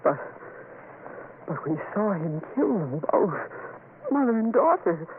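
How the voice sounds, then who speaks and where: A woman's voice: medium pitch, sing-song, slow. An actress playing a frightened wife and protective mother, breathless and melodramatic, in old radio horror. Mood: horrified. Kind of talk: 1970s radio horror acting. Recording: noisy, tinny old radio recording.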